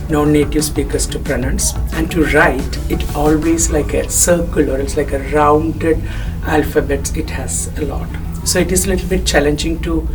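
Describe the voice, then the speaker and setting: A man from Kerala with an Indian accent whose first language is Malayalam, explaining things in English and often saying "you know". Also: a little high. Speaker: school staff member. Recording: distant mic, background music.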